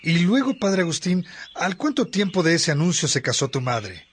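A man's voice: fairly high pitch, very animated, normal speed. A man playing a curious, sympathetic companion asking questions in a radio drama. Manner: inquisitive, sympathetic. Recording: loud noise, birdsong ambience. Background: birdsong.

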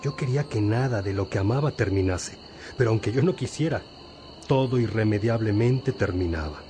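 A man's voice: lowish voice, moderate pace, dark tone, a little hiss or hum, lightly acted. An actor playing a wise, patient older priest who teaches his disciples reflectively in a religious radio drama. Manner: reflective, didactic.

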